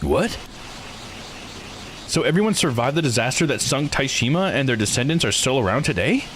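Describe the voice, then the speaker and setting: A man voicing an earnest, excitable youth in a visual novel, eager and questioning. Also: mid-range voice, moderate pace, a little hiss or hum, pitched above the performer's own voice. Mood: astonished. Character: earnest, excitable youth searching for answers about mother. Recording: music behind the voice.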